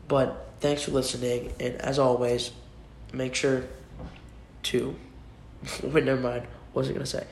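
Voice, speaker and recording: A man's voice: medium pitch, a little mellow. A young man with a cold hosting a casual solo sports podcast, rambling and restarting sentences as he makes his picks. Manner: rambling, restarts often, informal. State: has a cold. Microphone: computer mic. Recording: echoey room with faint noise.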